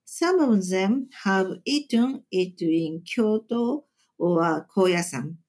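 Slow, measured Japanese-accented woman. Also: medium pitch, animated, a little mellow. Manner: slow, deliberate, measured phrasing. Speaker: temple chef and cooking teacher. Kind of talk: interview answers, carefully read.

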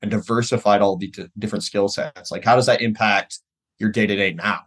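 A man with a fairly low voice discusses things as a podcast co-host. His delivery is casual and conversational, and he often tags his points with a short check-in question. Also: steady tone, brisk, a bit bright.

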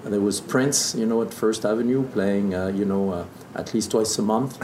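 Deep-voiced Frenchman telling his story. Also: moderate pace, darkish tone. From southern Burgundy, France. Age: 50s. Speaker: interview guest, distiller. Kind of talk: casual storytelling interview. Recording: echoey room with background noise.

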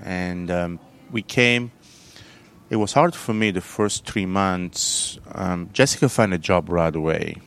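A man's voice: deep voice, creaky, a bit bright, clean tone. A man from southern Italy with an Italian accent, a chef telling his story in a casual interview, with many fillers and restarts. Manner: halting, many fillers and restarts, loose grammar.

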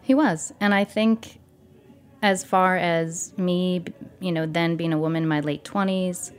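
A woman's voice: on the low side, fairly even intonation, a little slow, smooth. A woman in her thirties, a guest on an interview podcast, telling her story casually with many fillers. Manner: storytelling, thoughtful, with frequent fillers.

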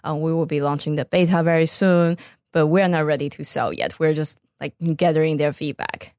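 Low-pitched woman with a Taiwanese accent. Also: steady tone, a little fast, a little mellow, dry recording, clean studio sound. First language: Mandarin Chinese. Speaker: startup co-founder, podcast guest. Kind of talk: interview answers, casual explaining.

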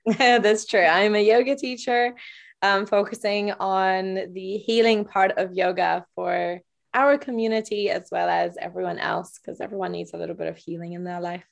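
A woman's voice: medium pitch, steady tone, normal speed, gravelly. A woman of twenty-four, from Poland and raised in the UK, casually introducing herself as a panelist. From Poland, grew up in the UK. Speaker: podcast panelist, yoga teacher.

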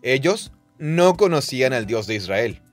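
A man dubbing a podcast co-host, explaining things with quick affirmations and light laughs, recorded in a studio. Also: mid-range voice, sing-song, soft background music. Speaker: podcast co-host, Spanish dub. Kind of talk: dubbed conversational podcast.

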